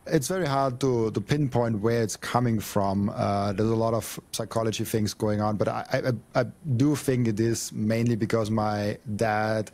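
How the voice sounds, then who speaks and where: A man's voice: fairly low pitch, steady tone, normal speed, hardly any pauses, darkish tone. A young man from Austria, talking earnestly as a podcast guest and often repeating words as he thinks. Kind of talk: casual interview chat.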